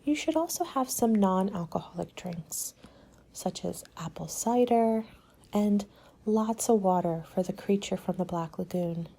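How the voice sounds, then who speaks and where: A woman playing a courteous, helpful party planner in a soft-spoken ASMR roleplay, with a measured, polite, advisory manner and soft, breathy delivery. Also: mid-range voice, animated. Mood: hushed, polite.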